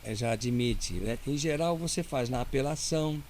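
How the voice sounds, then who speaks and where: Brazilian lawyer explaining things patiently. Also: male voice, mid-range voice, normal speed, a little mellow, a little hiss or hum. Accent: Brazilian Portuguese. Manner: didactic, explains step by step with many "né?". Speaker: lawyer guest. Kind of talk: casual interview talk.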